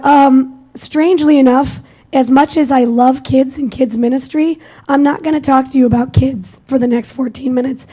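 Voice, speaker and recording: Young woman w/ a squeaky high voice, mellow tone, chatty conference talk. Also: slightly rough, rough recording. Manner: energetic, conversational, self-deprecating. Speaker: conference speaker. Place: conference room with audience.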